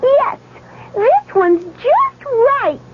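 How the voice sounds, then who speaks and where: A perky Goldilocks character with a very high, lively, sing-song voice. She is coy and chirpy, selling in an old radio commercial with a thin, narrow sound. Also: extremely slow, very noisy, exaggerated voice. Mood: delighted. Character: cute, perky storybook Goldilocks as taste tester.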